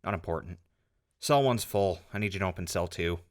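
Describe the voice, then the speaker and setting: A man playing a gruff, irritable lawman in an audio drama, brusque and grumbling. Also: deep voice, brisk, natural voice. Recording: clearly noisy background.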